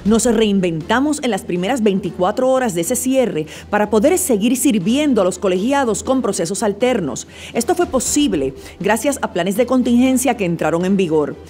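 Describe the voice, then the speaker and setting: Mellow woman narrating formally. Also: medium pitch, expressive, moderate pace, hardly any pauses. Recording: faint music behind the voice.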